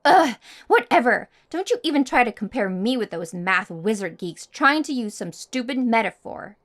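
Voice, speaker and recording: Woman acting a haughty, high-voiced popular girl. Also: very animated, moderate pace. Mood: angry, possessive.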